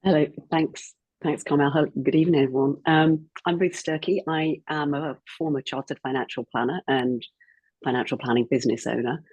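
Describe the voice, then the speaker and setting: A woman's voice: low-pitched, fairly even intonation, a little fast, darkish tone, slightly rough, no echo, muffled recording. A woman in her sixties, a former financial planner with a cold, speaking reflectively as a guest on an online panel. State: croaky from a cold.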